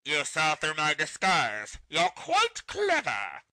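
A man as a sharp, theatrical ninja villain. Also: a little high, wildly swooping pitch, slow pace, no echo, studio quality, exaggerated voice. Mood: grandiose. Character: theatrical, hapless male ninja villain in disguise. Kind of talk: comedic parody dub.

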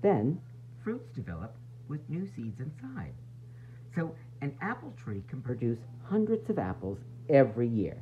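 A man reading a children's book aloud like a teacher, expressively, with dramatic pauses, emphasis and questions to his young listeners. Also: fairly high pitch. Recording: dull, faintly noisy home recording.

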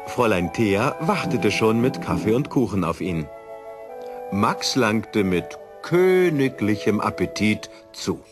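A man reading a children's story as a storyteller, in an expressive, theatrical way. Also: lowish voice, slow pace, music in the background. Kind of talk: scripted children's story narration.

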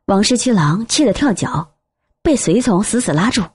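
A woman reading a novel aloud as an expressive, scripted audiobook narrator. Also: medium pitch, moderate pace, breathy edge, no room sound.

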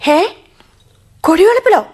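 A high-voiced, fretful Kerala mother. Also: animated, measured pace, darkish tone. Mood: worried. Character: anxious, scolding village mother of a grown son. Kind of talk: Malayalam radio drama acting.

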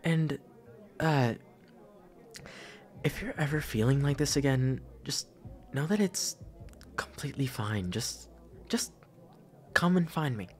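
Casting: male actor as shy young best friend for roleplay audio, unhurried, soft, hesitant, very expressive pitch, caring and teasing. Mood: gently reassuring. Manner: soft, hesitant, stammering, breathy sighs and chuckles. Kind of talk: intimate ASMR roleplay audio.

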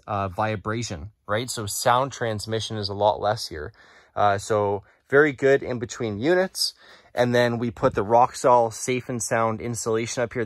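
A man's voice: lowish voice, no echo, clean studio sound. Casual young man vlogging a renovation. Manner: casual, explanatory, says "guys" and "right?" often. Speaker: real estate investor vlogger. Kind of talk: casual walkthrough vlog. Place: basement under renovation, indoors. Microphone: phone camera held at arm's length.

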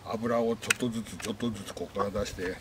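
A man's voice: on the low side, fairly even intonation, fast talker. A man casually explaining a hands-on task in an informal, plain-spoken way, as an instructor outdoors. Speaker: instructor showing chainsaw use. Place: outdoors in a rural yard. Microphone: camera mic a few steps away. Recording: loud outdoor noise, clicks.

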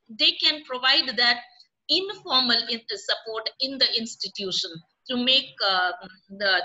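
A middle-aged woman speaks as a panelist over a laptop webcam from her room at home. Her voice is very bright and a little high. She thinks aloud, pausing on fillers and restarting her sentences. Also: rough recording. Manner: thoughtful, hesitant, many fillers and restarts. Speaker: panelist, professor. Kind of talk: panel discussion on a video call. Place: room at home with orange curtains. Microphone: laptop webcam on video call.

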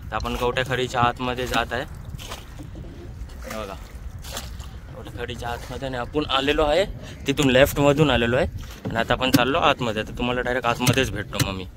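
Male vlogger, Mumbai accent, mid-pitched voice, casual running commentary, handheld phone, noisy open boat outdoors. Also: steady tone, quick pace. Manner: repetitive, pointing things out to viewers. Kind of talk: casual vlog commentary to camera.

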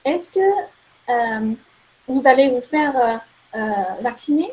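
Young female host on a video call, high-pitched, halting questions with fillers. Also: darkish tone, rough recording. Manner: hesitant, many fillers. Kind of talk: interview questions. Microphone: video call webcam.

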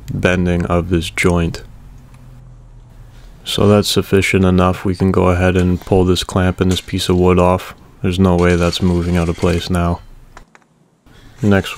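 A man casually narrating a welding repair video in a relaxed, matter-of-fact way. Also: low voice, a little hiss or hum.

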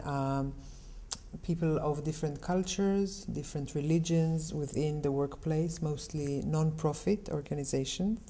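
A middle-aged woman from Israel answers interview questions at an unhurried pace. Her voice sits low and sounds mellow. She explains things in a calm, measured way. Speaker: interview guest.

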